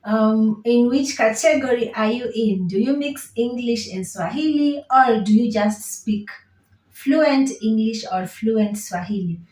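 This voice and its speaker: A woman's voice: on the high side, unhurried, a little mellow, far from the mic. A woman from Kenya with a Kenyan accent teaching Swahili in an online lesson, patiently repeating each phrase. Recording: roomy echo, set back from the mic.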